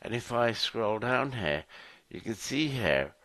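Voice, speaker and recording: A man giving a software demo walkthrough, explaining in short, halting phrases as a presenter. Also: medium pitch, very animated, dry recording. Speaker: solution architect presenter.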